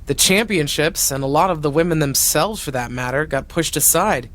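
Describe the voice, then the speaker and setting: A woman's voice: sits low, normal speed, close to an everyday voice. An actress playing a middle-aged female wrestling veteran and mentor, proud, teasing and emphatic, in a video game cutscene. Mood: wistful.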